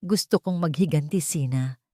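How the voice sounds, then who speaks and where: A woman's voice: deep voice, moderate pace, warm tone, no room sound, studio quality. A woman voicing a brooding man who craves justice in a dramatic love story. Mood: bitter. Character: brooding, bitter man hungry for justice and revenge.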